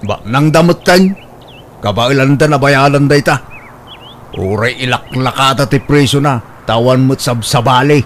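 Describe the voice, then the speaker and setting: A man's voice: mid-range voice, normal speed, slight background noise, lightly acted. A man with an Ilocano accent playing a stubborn, grasping older father in a radio drama, haggling emphatically. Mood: stubborn, greedy.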